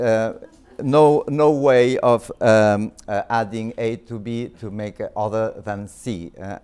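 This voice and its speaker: French-accented prof lecturing, lowish smooth voice, lots of uhs and restarts. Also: male voice, a little mellow. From Spain. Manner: halting, many fillers and restarts. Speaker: visiting art history professor. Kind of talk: academic lecture. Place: lecture hall.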